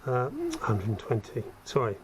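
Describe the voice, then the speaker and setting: A man with a slightly croaky, cold-affected voice giving a casual hands-on demonstration, thinking aloud as he works. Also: fairly low pitch, moderate pace. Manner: conversational, self-deprecating asides, thinking aloud while working. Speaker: hobbyist host demonstrating spray gun equipment. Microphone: head-mounted action camera. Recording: steady background noise from workspace.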